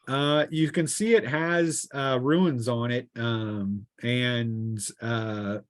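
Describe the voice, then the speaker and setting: A man running a tabletop fantasy game as game master, improvising narration and often pausing mid-sentence. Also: mid-range voice, fairly even intonation, no room sound, studio quality.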